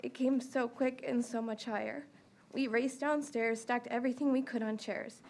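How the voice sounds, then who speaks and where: Woman, fairly high voice, gravelly fry, steady orderly account, county meeting public comment. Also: moderate pace. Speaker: resident giving public comment. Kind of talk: prepared personal testimony. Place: county commission meeting room.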